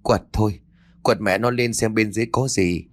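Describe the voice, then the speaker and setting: Man as a blunt, cursing thug boss, low-pitched. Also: moderate pace, dry recording, studio quality, natural voice. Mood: resolute. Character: gruff, foul-mouthed male thug boss, reckless and irritable.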